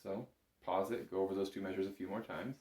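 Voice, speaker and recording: A man's voice: sits low, steady tone, fast talker, darkish tone. A man giving an informal, patient music lesson, talking learners through it step by step. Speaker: bagpipe instructor. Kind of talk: instructional music lesson to camera. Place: indoor room, echoey. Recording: echoey room.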